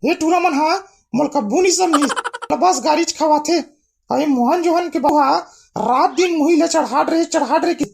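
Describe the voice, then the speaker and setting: Woman playing a high-voiced Chhattisgarhi village mum. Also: moderate pace, gravelly, background noise. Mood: defensive. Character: coaxing, complaining village mother of two boys. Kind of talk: cartoon comedy acting.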